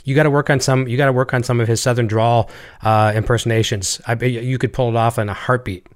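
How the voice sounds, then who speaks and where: Man, Canadian accent, host, rapid, chatty, upbeat. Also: mid-range voice, fairly even intonation, clear, resonant tone. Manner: chatty, enthusiastic, reads viewer comments. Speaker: show host. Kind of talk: casual interview hosting.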